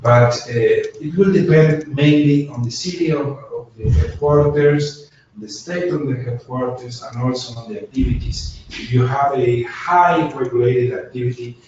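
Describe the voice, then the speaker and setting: A man, a lawyer giving a measured business presentation in a webinar. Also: medium pitch, darkish tone, a little hiss or hum. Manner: measured, deliberate delivery. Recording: echoey, distant, dull webinar audio.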